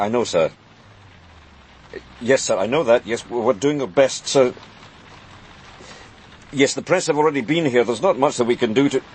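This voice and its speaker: An actor playing a harried senior police detective, weary and dryly sardonic, in a naturalistic radio crime drama. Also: male voice, medium pitch, slight background noise, lightly acted, poor audio. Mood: deferential, hassled.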